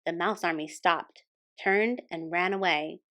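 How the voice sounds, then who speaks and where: A woman hosting a storytime and reading a children's picture book aloud as expressive scripted narration. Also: lowish voice, measured pace. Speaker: storytime host and reader.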